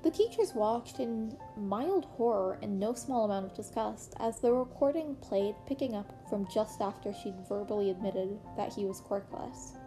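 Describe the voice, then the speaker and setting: A woman's voice: medium pitch, expressive, moderate pace, few pauses, warm tone, faint music behind. A woman reading a story aloud as scripted narration. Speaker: podfic narrator reading fan fiction aloud.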